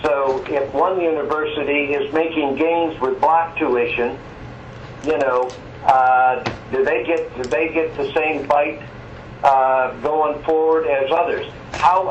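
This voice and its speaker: A man speaking haltingly and meandering through questions as a board member in a telephone meeting. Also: on the high side, steady tone. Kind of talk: informal meeting discussion. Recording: echoey muffled phone line, faint noise.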